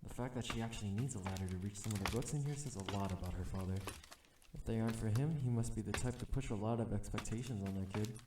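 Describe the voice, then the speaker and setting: A man's voice: lowish voice, quick pace, very noisy, lightly acted. A man playing an exasperated assassin who poses as a dutiful bodyguard in an ASMR audio roleplay, alternating polite formal address with grumbling inner thoughts. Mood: pensive, calculating.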